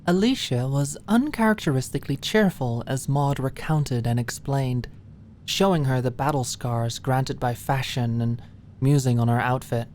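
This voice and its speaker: A woman's voice: rumbling low, moderate pace, darkish tone, a little hiss or hum. A woman reading scripted story narration for an ASMR-style audiobook.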